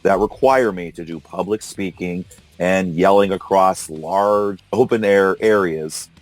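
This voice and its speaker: A hoarse man hosting a casual political discussion, arguing and incredulous. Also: sits low, unhurried, dry recording, faint music behind, rough recording. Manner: argumentative, incredulous, laughs often. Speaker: podcast host. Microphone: call recorder.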